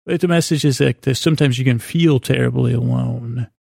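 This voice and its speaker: A man hosting a podcast in a meandering bedtime monologue, halting and stammering as he doubles back on phrases, with a self-deprecating manner. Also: medium pitch, creaky, darkish tone, no echo, clean studio sound. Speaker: podcast host.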